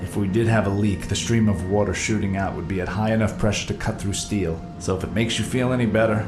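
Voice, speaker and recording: An actor playing a nonchalant, teasing mini-sub pilot in an audio drama, folksy and reassuring. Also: male voice, on the low side, steady tone, quick pace, roomy sound, over music, lightly acted.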